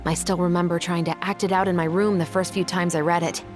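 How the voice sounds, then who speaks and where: A woman playing a serious young female patrol captain in a video game, measured and formal. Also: on the low side, level tone, music in the background, close to an everyday voice. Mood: earnest.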